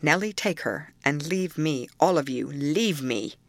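A man's voice: fairly high pitch, lively intonation, a little slow, no room sound. A woman voicing a savage, domineering middle-aged master of the house in an audiobook, commanding and menacing. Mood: forced calm, threatening.

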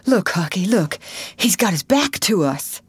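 A woman voicing a superstitious, excitable young country boy, bossy but easily scared. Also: mid-range voice, a little slow, dry recording, pitched above the performer's own voice, exaggerated voice. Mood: relieved, excited. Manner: breathy urgent exclamations and hushed whispering.

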